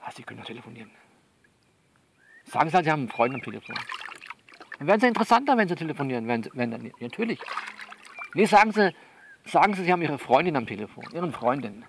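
Man acting a gravelly, frank phone coach. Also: a little high, very animated, fairly bright tone, slight background noise, full character voice. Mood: calm, coaxing. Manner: colloquial, blunt, coaxing, with repeats and pauses. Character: man coaching a female client over the phone, frank and worldly. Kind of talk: improvised-sounding one-sided phone monologue in an audio novel.

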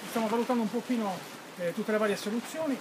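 A man's voice: high-pitched, fast, background noise. A man in his fifties, an event organizer, fluently listing the day's activities in an interview in an outdoor tent. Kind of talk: interview answers.

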